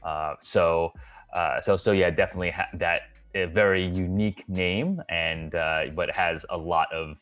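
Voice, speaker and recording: A man hosting a casual video call interview, friendly and halting with many fillers. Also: low-pitched, fairly even intonation, dull audio. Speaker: host.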